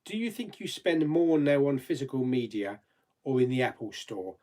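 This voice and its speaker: A man's voice: medium pitch, very animated, normal speed, dark tone. A man hosting a casual live chat, rambling and reading out viewer comments, laughing easily and swearing mildly.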